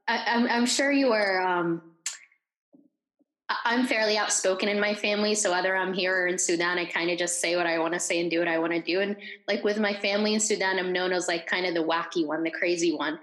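A woman's voice: fairly low pitch, fairly even intonation, fairly quick, a bit bright, roomy sound, low-quality recording. Playful, outspoken woman co-host. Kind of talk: casual podcast chat.

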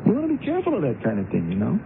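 Young American male student, radio play: lovestruck, eager, hesitant, very animated pitch, heavy noise on a narrow-band recording. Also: rapid-fire, gravelly. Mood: tentative, curious. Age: young adult. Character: smitten, talkative young male university student with a motorbike. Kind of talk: radio drama acting, first-person narration and dialogue.

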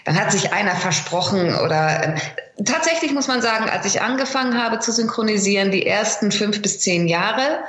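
A middle-aged woman talking as an interview guest in a colloquial, animated conversational way, as a working voice actress. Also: medium pitch, normal speed. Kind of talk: conversational interview, anecdotes and advice. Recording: roomy, echoing sound.

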